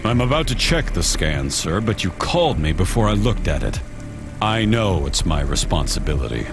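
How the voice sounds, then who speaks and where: A man's voice: sits low, very animated, moderate pace, music in the background. A man playing a by-the-book, mild-mannered checkpoint guard in a video game, plain-spoken and officious. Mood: deferential.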